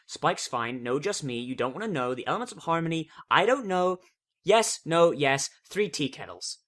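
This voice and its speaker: A man's voice: a little high, a bit bright, pitched above the performer's own voice. A man voicing an earnest, brainy young female unicorn pony in a clipped, urgent manner.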